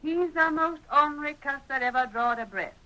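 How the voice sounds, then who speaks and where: A chatty frontier busybody, emphatic and opinionated. The voice is bright and forceful, with a folksy rural Western drawl, in 1940s radio style. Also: female voice, high voice, moderate pace, low-quality recording. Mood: gossipy, indignant. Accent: rural American Western. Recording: old radio drama recording.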